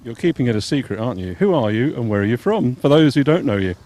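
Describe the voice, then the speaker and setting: A man calling a triathlon race live, steady and informative, pausing now and then with fillers. His voice sits at an ordinary middle pitch for a man, and he sounds like he is outdoors at the course. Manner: measured, informative, with hesitation fillers. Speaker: race commentator. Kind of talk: live sports commentary and interview.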